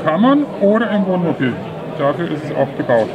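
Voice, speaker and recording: A man's voice: fairly high pitch, sing-song, a little fast, highs cut off. A man at a model railway exhibition, patiently explaining his layout in casual chat. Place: busy exhibition hall. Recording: loud crowd noise around. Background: crowd chatter.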